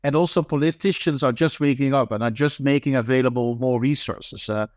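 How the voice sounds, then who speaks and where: Man, smooth hesitant speech. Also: medium pitch, darkish tone, no echo, clean studio sound. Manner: halting, many fillers and restarts. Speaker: guest, managing director of an anti-scam nonprofit. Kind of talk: interview answers, thoughtful and hesitant.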